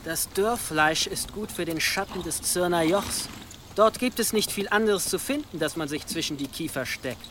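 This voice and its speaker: A man's voice: high-pitched, animated, normal speed, a bit bright. A man playing a polite, mild and persuasive servant in a medieval audio drama. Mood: mild, placating. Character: earnest, polite young monk and servant. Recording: outdoor ambience noise behind.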